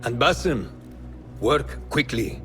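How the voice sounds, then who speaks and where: A grave, wise assassin mentor. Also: male voice, mid-range voice, expressive, fairly bright tone. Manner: measured, grave and advising. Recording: game audio with music.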